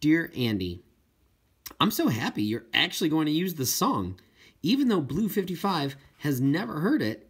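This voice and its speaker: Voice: mid-range voice, breathy edge, full character voice. An audiobook reader voicing an eager, determined schoolgirl who loves whales, in an earnest manner.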